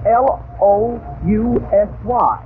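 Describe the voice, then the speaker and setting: A man's voice: high-pitched, fairly even intonation, slow, slight background noise. A man hosting an old radio variety show with jokey, folksy announcing and banter. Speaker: radio show host introducing singers. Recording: old radio broadcast, narrow tinny sound, music.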